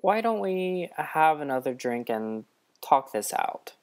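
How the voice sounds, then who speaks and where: A man's voice: fairly high pitch, very animated, takes it slowly, pitched above the performer's own voice, full character voice. A man voicing a wry, placating trickster god in a radio-play story, coaxing and conciliatory. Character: wry, placating male trickster god, smooth-talking bargainer.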